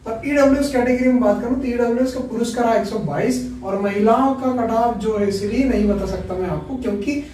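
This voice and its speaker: High-voiced man in a very echoey room. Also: a little fast, hardly any pauses. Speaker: exam coaching presenter. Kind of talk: informal explanatory lesson for viewers. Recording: very echoey room, distant mic, faint noise.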